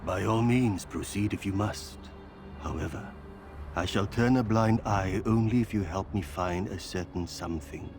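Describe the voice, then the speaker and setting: Pompous palace butler acted by a man for a game: lowish voice, stiff formality, music underneath. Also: moderate pace, close to an everyday voice. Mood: officious, scheming. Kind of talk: game cutscene acting. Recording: music behind.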